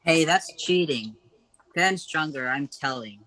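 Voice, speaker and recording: Woman playing a low-voiced bossy boy. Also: lively intonation, measured pace, fairly bright tone, poor audio. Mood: bossy, threatening. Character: bossy, scheming ten-year-old big brother. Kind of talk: amateur radio play acting.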